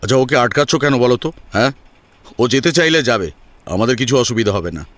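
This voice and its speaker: A man's voice: medium pitch, lively intonation, a little fast, fairly bright tone, no echo, close to an everyday voice. A man playing a domineering, grudging eldest brother in an audio story, curt and scolding. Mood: dismissive. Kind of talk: Bengali audio story acting.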